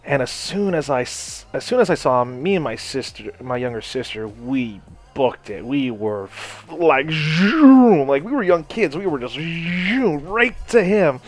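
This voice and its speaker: A man's voice: a little high, sing-song, unhurried. A young man from Hawaii casually telling a personal story over gameplay, rambling with lots of "you know" and "like" and some swearing. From Hawaii, United States. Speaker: gaming channel host.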